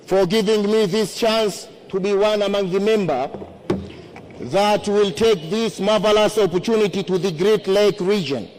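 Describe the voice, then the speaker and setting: High-pitched Tanzanian man giving a formal speech. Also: darkish tone, slight background noise, rough recording. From Zanzibar, Tanzania. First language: Swahili. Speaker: member of parliament, candidate. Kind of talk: formal campaign speech to parliament.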